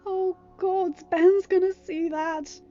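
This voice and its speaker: A woman's voice: extremely high pitch, fairly even intonation, slow pace, warm tone, lo-fi sound, soft background music, pitched above the performer's own voice. A woman voicing an anxious, exclamatory, self-doubting teenage girl in an audiobook. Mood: dismayed. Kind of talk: audiobook character dialogue.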